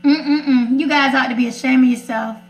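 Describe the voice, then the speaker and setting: A woman's voice: sits high, fairly even intonation, normal speed. An American woman hosting a casual radio chat, outspoken, sassy and emphatic as she gives her opinions. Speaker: radio segment host. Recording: roomy echo.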